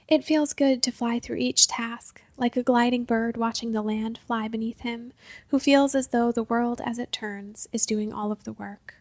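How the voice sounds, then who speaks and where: A woman narrating a literary short story in a measured, deliberate way, in short careful phrases. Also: fairly high pitch, dry recording. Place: close-miked dry recording space.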